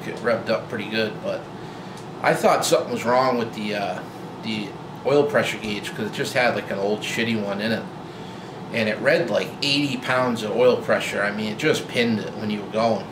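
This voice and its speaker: A man in his forties talking casually to camera about his old truck, relaxed and rambling with lots of fillers and restarts, filmed in a garage. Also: fairly low pitch. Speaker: vlogger. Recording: roomy garage with loud hum.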